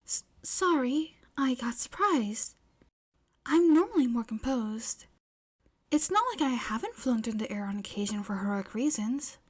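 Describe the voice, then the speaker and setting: A woman voicing a shy, proper teenage girl who speaks softly and haltingly, with some breathiness, in a fan fiction audio story. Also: a little high, expressive. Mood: flustered. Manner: hesitant, soft, breathy, halting.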